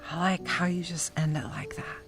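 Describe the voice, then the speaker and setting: An actress playing a columnist's frank, supportive companion in a naturalistic, understated audio drama style. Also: female voice, on the low side, lively intonation, moderate pace, gravelly, soft background music. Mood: gently frank.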